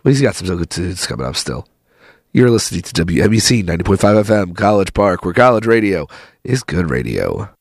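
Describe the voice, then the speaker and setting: A young man hosts a college radio show while congested, his low voice raspy and full of fry. He apologizes for how he sounds and pushes on anyway, speaking close and dry into a studio microphone. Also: big pitch swings, a little fast, no room sound. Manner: apologetic, pushing on through feeling unwell. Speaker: radio host. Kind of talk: casual radio hosting.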